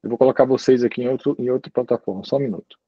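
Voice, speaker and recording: A man giving an informal university lecture over a video call, chatty and relaxed, often ending phrases with little checking tags. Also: mid-range voice, racing, slightly rough, dry recording, highs cut off. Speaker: guest lecturer.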